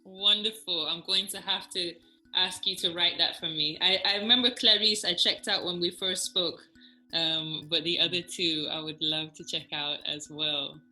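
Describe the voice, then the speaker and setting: A woman interviewing a guest, reacting with enthusiasm and asking questions in a relaxed, chatty way with small hesitations. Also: on the low side, moderate pace, soft background music, rough recording. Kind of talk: casual interview.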